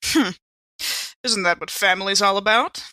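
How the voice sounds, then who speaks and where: Tabletop game role: female player as prim, eccentric lady, arch and droll, bright-voiced, closely and dryly recorded. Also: medium pitch, normal speed, no room sound, natural voice. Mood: droll, hesitant.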